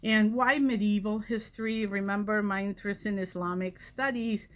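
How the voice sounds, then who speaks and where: A woman's voice: mid-range voice, steady tone, moderate pace. A woman, a college vice president telling her personal story in a reflective, conversational way. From Catalonia, Spain. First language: Catalan. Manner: reflective, conversational, many fillers. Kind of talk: personal origin story talk.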